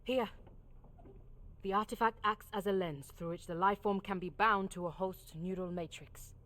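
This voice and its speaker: An actress playing a resolute, clever crew leader in a video game, explaining things with measured authority. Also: female voice, medium pitch, fairly even intonation, fairly bright tone, close to an everyday voice. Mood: grave explaining. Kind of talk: video game cutscene acting.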